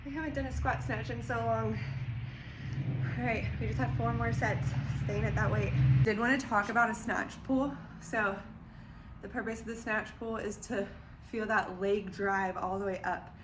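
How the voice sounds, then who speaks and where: A woman's voice: a little high, fairly even intonation, moderate pace. A young woman with a slightly nasal, congested voice, giving casual lifting tips in a home garage between sets and a bit winded. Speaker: vlogger and former weightlifter. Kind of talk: casual training vlog with coaching tips. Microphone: camera set up away from her. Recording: echoey garage from a distance with noise.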